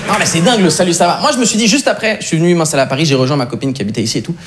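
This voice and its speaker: A young Swiss man doing a stand-up set, animated and acting out the voices he quotes. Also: a little high, very fast, heavy background noise. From Switzerland. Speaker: stand-up comedian. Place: comedy club stage.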